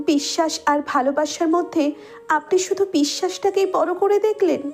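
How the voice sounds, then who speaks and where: A woman playing a very high-pitched pleading young bride. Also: moderate pace, a little mellow. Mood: hurt, insistent. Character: lovesick young bride, pleading, stubborn, tearful. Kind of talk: audio love story acting.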